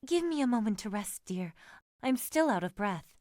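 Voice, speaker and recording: A woman voicing an anxious, timid young woman in a storybook romance, soft and fretful in manner. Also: medium pitch, sing-song, moderate pace, no room sound, clean studio sound, close to an everyday voice. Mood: weary, breathless. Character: anxious, timid young woman meeting her lover secretly.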